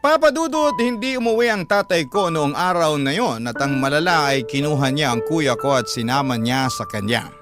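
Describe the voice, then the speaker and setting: A man's voice: fairly high pitch, normal speed, few pauses. A man narrating a listener's letter as a scripted story on a Filipino radio drama program. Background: instrumental background music.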